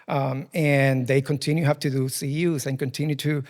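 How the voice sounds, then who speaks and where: A man's voice: medium pitch, little pitch movement, moderate pace, a little mellow. A man in his forties with a Puerto Rican Spanish accent, Spanish his first language, answering interview questions thoughtfully with many ums. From Puerto Rico. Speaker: guest, furniture dealership president.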